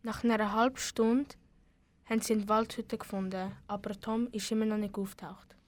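A woman's voice: mid-range voice, little pitch movement, moderate pace. A young female narrator of an amateur school radio play, speaking with a Swiss German accent.

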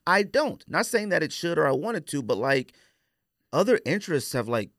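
A man's voice: a little high, sing-song, normal speed, a bit bright, no room sound, clean studio sound. A man chatting casually as a podcast co-host, rambling candidly and using plenty of slang.

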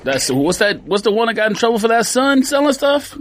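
A man's voice: very high-pitched, sing-song, brisk, fairly bright tone. A man co-hosting a podcast in casual banter, tossing in dry teasing remarks, with faint noise behind. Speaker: podcast co-host.

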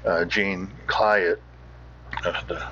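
A man hosting a podcast, giving casual off-the-cuff commentary in a halting, musing way. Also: low-pitched, fairly even intonation, sharp tone, a little hiss or hum. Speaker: podcast host. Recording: slightly muffled sound.

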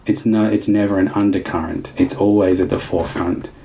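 A man with a deep, steady, fairly monotone voice talks things through slowly and carefully. His sentences wind on as he searches for the right words, and a faint noise sits under the recording. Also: poor audio. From Australia. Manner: reflective, searching for words, long winding sentences. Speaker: dance teacher. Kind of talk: thoughtful casual conversation.

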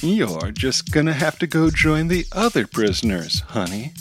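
A man doing a sugary, condescending woman villain from a children's audio story, pitched well up from an ordinary man's voice and swinging all over the place. She drips with folksy sweetness and calls everyone honey. Music plays underneath. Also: sing-song, normal speed. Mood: smug. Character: sweet-talking villainous middle-aged woman kidnapper.

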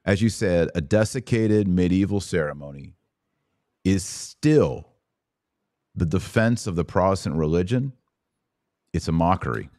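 Low, dry-sounding man hosting. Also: expressive, unhurried, long pauses, warm tone, no echo. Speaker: podcast host. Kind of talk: conversational discussion.

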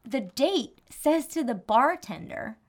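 A woman from New Jersey with a slight New Jersey accent, a dating coach telling a story in an expressive, animated way in casual chat. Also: fairly high pitch, slow.

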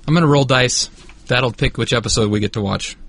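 A man's voice: medium pitch, fairly quick, vocal fry, fairly bright tone. A man co-hosting a casual podcast, rambling in a dry, self-deprecating way. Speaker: podcast co-host. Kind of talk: casual banter.

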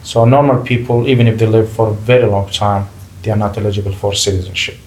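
Low-voiced man, measured and careful. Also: little pitch movement, darkish tone. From Palestinian refugee, grew up in Gulf region. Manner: measured, pauses and restarts while choosing words. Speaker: guest. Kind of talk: interview, personal account. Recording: faint background noise.

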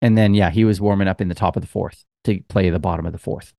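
Podcast co-host, male, lowish voice, dry close sound, loose rambling storyteller. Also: steady tone, fast, creaky, dark tone, no room sound. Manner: rambling storyteller, many fillers. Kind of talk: casual chat.

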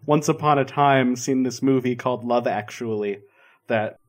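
A man's voice: mid-range voice, moderate pace. Chatty man telling stories. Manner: chatty, storytelling, playful. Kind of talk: casual chat.

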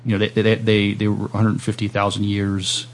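Low, creaky-voiced man, halting delivery. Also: completely flat delivery, slight background noise. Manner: hesitant, halting, agreeable. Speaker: podcast host. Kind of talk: casual interview chat.

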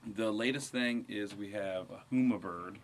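A man's voice: lowish voice, normal speed. An American man from Ohio giving short, understated, slightly hesitant answers as a guest in a casual interview. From Ohio, United States. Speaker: band musician, interview guest.

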